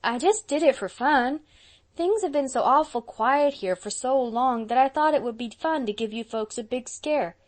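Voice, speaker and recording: A woman's voice: sits high, lively intonation, normal speed, pitched above the performer's own voice. A woman reading aloud and voicing a mischievous, curious six-year-old boy who pleads and sobs in a childish way. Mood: cheeky. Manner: childish, plaintive, sobbing pleas.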